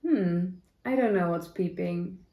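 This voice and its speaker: A woman's voice: lowish voice, a little slow, lo-fi sound. Dutch-accented woman chatting in a roomy echo. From Netherlands. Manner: rambling, many fillers and self-corrections. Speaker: knitting vlogger. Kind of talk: casual chat. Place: home.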